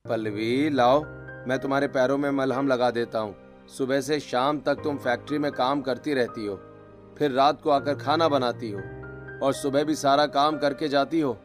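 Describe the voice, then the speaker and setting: A man's voice: medium pitch, steady tone, moderate pace, faint music behind, close to an everyday voice. A man voicing a concerned, protective young farmer husband in a village story, speaking earnestly. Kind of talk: scripted story dialogue.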